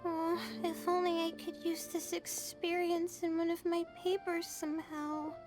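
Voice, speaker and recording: Very high-voiced, earnest student girl. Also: flat delivery, measured pace, over music. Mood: musing. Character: gentle, studious, weary young female student. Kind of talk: animated game voice acting.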